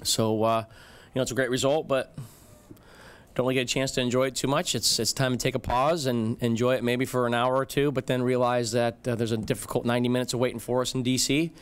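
A middle-aged man, a football head coach, speaking in a measured, reflective way at a postgame press conference podium. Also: medium pitch, level tone, clean tone. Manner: measured, reflective, frequent fillers. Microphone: podium microphone.

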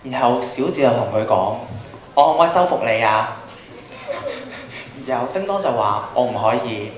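A man's voice: medium pitch, expressive, normal speed. A young man with a Hong Kong Cantonese accent, improvising story narration as a student on stage. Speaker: student participant narrating a skit. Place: school auditorium stage. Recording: very echoey hall through PA.

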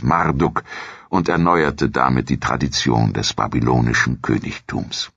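A man reading a scripted history documentary in a measured, solemn manner. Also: rumbling low, vocal fry, a bit bright, husky, no echo, highs cut off. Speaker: documentary narrator.

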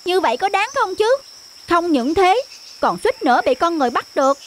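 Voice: very high voice, big pitch swings, a little fast, a little hiss or hum, exaggerated voice. An actor voicing a worried cartoon rabbit who questions and frets over a friend. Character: concerned, timid rabbit, a scolding friend. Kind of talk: cartoon dubbing.

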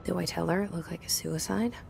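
An actress playing a guarded young woman in a video game, half her lines a hushed inner monologue. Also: lowish voice, fast talker, creaky, slight background noise. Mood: inner thoughts. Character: guarded, wry young woman, the new director. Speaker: game protagonist.

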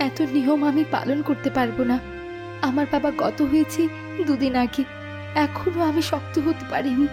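Woman as a young cartoon princess, high voice, naive, earnest, plaintive, light music behind. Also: steady tone, normal speed, natural voice. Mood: sad, reluctant. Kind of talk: Bengali fairy tale cartoon acting.

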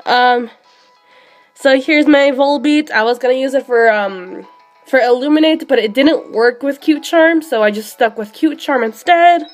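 A young woman streaming a handheld game, sick and losing her voice, chatting excitably with many fillers. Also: high-pitched, unhurried, faint music behind. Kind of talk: live shiny hunting commentary.

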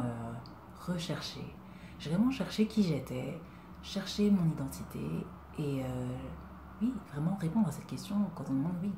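A young woman from Congo talking to her webcam in a small room, earnest and reflective, pausing often and asking rhetorical questions. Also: sits low, echoey room, noisy background. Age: young adult. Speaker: vlogger. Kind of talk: personal talk to camera. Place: indoors, small room, plain wall. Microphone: webcam at desk distance.

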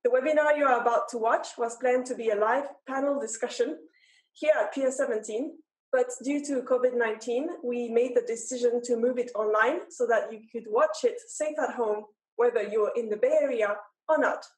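A young woman with a very high voice reads out a formal, prepared welcome as an event host. The sound is strongly roomy, like a laptop picking her up in a big empty hall. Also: normal speed, darkish tone. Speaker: event host introducing a panel. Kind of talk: scripted formal introduction. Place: large empty event hall with rows of chairs.

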